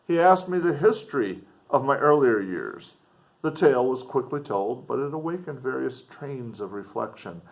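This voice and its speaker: A man reading literature aloud like a teacher, expressively and emphatically, with dramatic pauses and explanatory asides. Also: medium pitch, lively intonation, gravelly.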